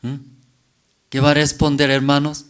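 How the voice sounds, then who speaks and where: A male pastor delivering a sermon, constantly asking the congregation rhetorical questions and checking in with a quick "mm?". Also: on the high side, monotone, clear, resonant tone.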